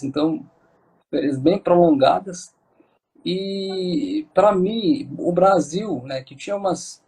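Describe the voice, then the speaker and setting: A man's voice: fairly high pitch, creaky, a little mellow, husky, rough recording. A Moroccan man speaking with a Moroccan accent, an interview guest talking casually with many fillers and restarts.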